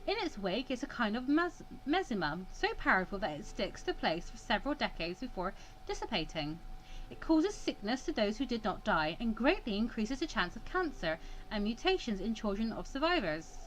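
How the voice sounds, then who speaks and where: A woman's voice: on the high side, sing-song, moderate pace, a little hiss or hum, rough recording. A woman hosting a let's play, reading visual novel text aloud and breaking off for wry, sarcastic asides.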